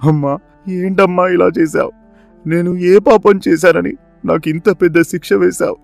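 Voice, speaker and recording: An actor playing a poor, honest, wronged village farmer in a cartoon moral story, speaking in an emotional, exclamatory way. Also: male voice, sits high, fairly even intonation, normal speed, creaky, a little mellow, no echo, faint music behind. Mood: anguished.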